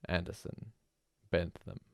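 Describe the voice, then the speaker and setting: A man's voice: takes it slowly, no echo, lightly acted. A man voicing a sober, plain-spoken village official who formally addresses a gathering in a storybook reading. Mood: formal announcing. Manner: folksy, sober, formal calling out.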